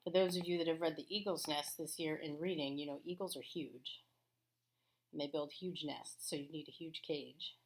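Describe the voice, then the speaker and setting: A woman's voice: low voice, steady tone. A woman elementary school teacher giving a read-aloud lesson for children, explaining things and chatting between passages of a storybook. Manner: explanatory, pauses to comment on pictures.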